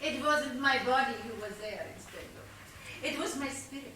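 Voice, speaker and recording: A woman's voice: sits high, normal speed, bright, forceful tone. A woman playing an airy, eccentric maid and murder suspect in a comic stage play, theatrical and innocently prim. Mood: airy, evasive. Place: theatre stage, picked up from a distance. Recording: distant echoey theatre stage recording, clear noise.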